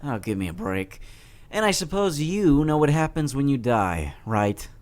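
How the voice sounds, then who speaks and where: A man playing an awkward, skeptical teenage boy in a comic dub, speaking haltingly with stammers. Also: medium pitch, sing-song. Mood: exasperated. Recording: noticeable background noise.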